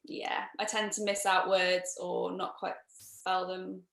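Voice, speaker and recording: A woman's voice: lowish voice, little pitch movement, takes it slowly, gravelly, fairly bright tone. Cheerful Northern English woman, roomy call audio. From Northern England. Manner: cheerful, jokey, greets viewers by name. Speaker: inventor, panel co-host. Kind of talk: casual chat on a live video stream. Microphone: computer mic on a video call.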